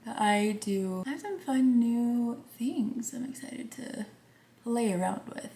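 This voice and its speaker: A young woman with a soft, gentle voice, chatting casually through a makeup routine, rambling and sometimes whispering. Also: a little high, animated, takes it slowly, vocal fry. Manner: rambling, drops into whispers at times. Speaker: beauty vlogger. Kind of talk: soft chatty get-ready-with-me vlog. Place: home. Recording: echoey room with faint background noise.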